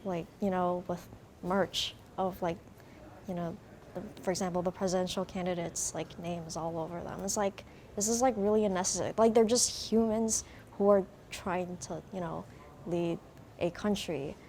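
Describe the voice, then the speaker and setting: A young woman, a student panelist, speaking casually with many fillers and an animated manner. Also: fairly low pitch, normal speed, a little hiss or hum. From United States. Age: young adult. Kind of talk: panel discussion answer.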